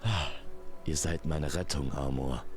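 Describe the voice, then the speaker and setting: A man's voice: rumbling low, measured pace, faint music behind. A man voicing a solemn, grateful king with a stately, courtly way of speaking. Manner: stately, archaic courtly address. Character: solemn, grateful king asking for a magical favour.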